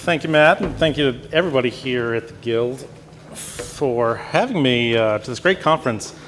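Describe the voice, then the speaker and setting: A man's voice: medium pitch, expressive, moderate pace, noisy background. A man from Virginia's Shenandoah Valley giving a conference talk with a stuffy nose, speaking conversationally with many fillers and restarts. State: stuffy nose from sinus infection. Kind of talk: lecture presentation. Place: conference hall. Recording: long hall reverb, faint ambience.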